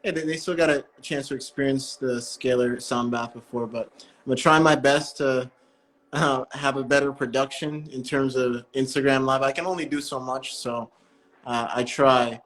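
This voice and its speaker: A man hosting a breathwork live stream, chatting in a relaxed, friendly way with callers and often correcting himself mid-sentence. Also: mid-range voice, moderate pace, poor audio.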